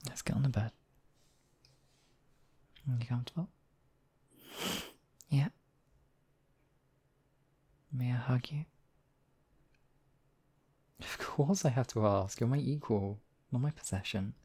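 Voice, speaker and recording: A man playing a demon king in an intimate ASMR roleplay, husky and theatrical, with deliberate phrasing and lingering pauses. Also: medium pitch, mellow. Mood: soft, loving. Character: demon king, menacing captor act turning tender, devoted lover.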